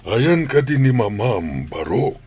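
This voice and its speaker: Casting: older Ilocano family patriarch for radio drama, animated pitch swings, measured pace, stern yet loving. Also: male voice, husky, heavily acted. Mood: kindly. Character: stern, caring grandfather scolding his daughter-in-law.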